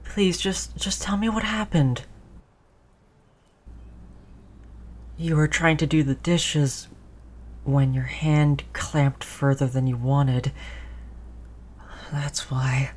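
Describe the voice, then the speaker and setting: Woman acting a slow, tender, reassuring android owner. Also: low-pitched. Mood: alarmed, soothing, then hushed, comforting. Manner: soothing, tender, hushed, repeating reassurances softly. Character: gentle, reassuring human owner comforting their distressed android companion. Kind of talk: roleplay audio drama, intimate comforting monologue.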